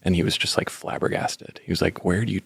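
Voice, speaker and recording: A man's voice: low-pitched, steady tone, fast, creaky, slightly rough, no echo. A man from North Carolina, a musician guest in an interview, speaking thoughtfully and hesitantly. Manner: thoughtful, hesitant, soft-spoken. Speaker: band member guest. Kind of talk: casual interview.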